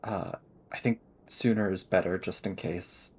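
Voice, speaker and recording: A man's voice: deep voice, fairly even intonation, a little slow, husky, full character voice. A man playing a cautious, practical schoolboy investigator in an improvised tabletop game, hesitant and understated. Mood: cautious concern.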